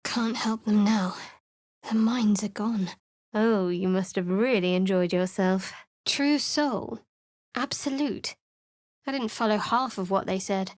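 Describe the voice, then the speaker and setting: A woman's voice: medium pitch, slow pace, darkish tone, dry recording, natural voice. A woman voicing a guarded, sardonic young female cleric in a video game, with a dry, wry and secretive way of speaking. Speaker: game character voice.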